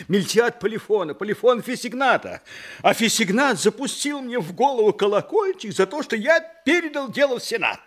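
An actor playing a weary male judge who recounts absurd events with wry, emphatic storytelling in an audio drama. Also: sits high. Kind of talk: theatrical audio drama monologue.

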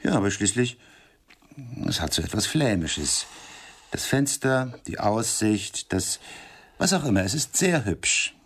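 A man's voice: on the low side, big pitch swings, unhurried, long pauses, a bit bright, slightly rough, full character voice. An actor playing a cultured, self-satisfied middle-aged man in a German radio drama, speaking in a pompous, emphatic, condescending way. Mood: smug, casual.

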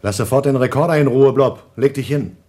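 A man's voice: medium pitch, a little fast, darkish tone, slight background noise, natural voice. A man playing an anxious, principled astronaut in a radio drama, stern, reproachful and suspicious, in clipped phrases. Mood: agitated, reproachful.

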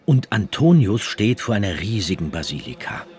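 A male narrator of a radio play with a low, deep voice. His scripted storytelling moves through a wide, lively range of pitch. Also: normal speed, slightly rough.

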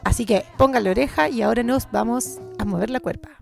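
A woman's voice: fairly high pitch, animated, quick pace, creaky, darkish tone, soft background music. A woman hosting a community radio show, announcing in an upbeat tone. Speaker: radio host.

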